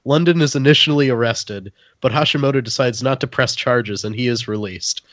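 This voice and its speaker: A man's voice: medium pitch, a little fast, fairly bright tone, dry recording. A man hosting a podcast in casual conversation, recorded dry with a dull, lo-fi sound. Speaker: podcast host. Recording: dull lo-fi close dry mic.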